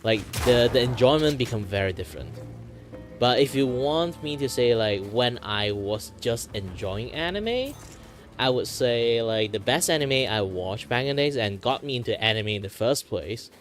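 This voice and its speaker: A man's voice: mid-range voice, expressive, normal speed. A young man from Malaysia with a Malaysian accent, chatting casually as a streamer, rambling with lots of fillers and loose English grammar. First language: Hakka. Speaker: VTuber streamer. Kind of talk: casual chat answering viewer questions. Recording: music playing loudly behind.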